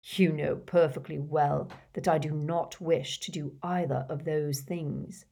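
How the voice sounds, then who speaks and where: A cold, menacing male schoolmaster from a storybook, put on by a woman reader. He speaks low and quietly, slow and clipped, every word controlled. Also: steady tone, vocal fry, husky, heavily acted.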